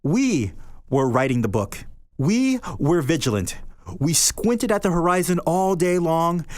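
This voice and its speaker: A man's voice: fairly high pitch, animated, measured pace, a little mellow, dry recording. Man reading a story in a dry, close voice. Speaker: podcast host reading a short story. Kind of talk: reading literary fiction aloud.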